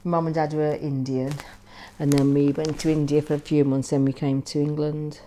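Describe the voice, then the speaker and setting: Woman from Malaysia, interviewee; low warm voice, quick chatty intro. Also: flat delivery, a little hiss or hum. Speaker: oral history interviewee.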